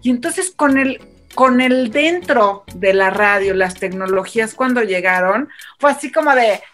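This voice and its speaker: High-voiced middle-aged Mexican woman chatting. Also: lively intonation, a little slow, a bit bright, soft background music. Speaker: radio announcer and panel guest. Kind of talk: casual anecdotal chat.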